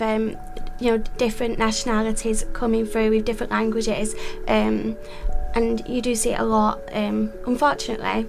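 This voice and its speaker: Gravelly, flat-voiced woman. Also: a little high. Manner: earnest, frequent ums. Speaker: midwife, guest. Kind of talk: interview conversation.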